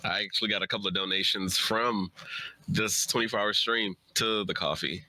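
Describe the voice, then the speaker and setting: A man's voice: fairly low pitch, steady tone, normal speed, sharp tone, no echo, low-quality recording. A man talking casually on a livestream as a co-presenter, informal and easygoing in how he speaks. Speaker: writer and streamer, co-presenter.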